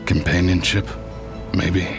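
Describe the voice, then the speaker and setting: An actor playing a weary, brooding warlock outcast, narrating grimly and deliberately in a motion comic. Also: male voice, deep voice, flat delivery, gravelly, exaggerated voice. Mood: weary, bitter. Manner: grim, deliberate, dryly understated. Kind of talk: first-person scripted narration in a motion comic. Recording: loud dramatic music bed. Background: dramatic music.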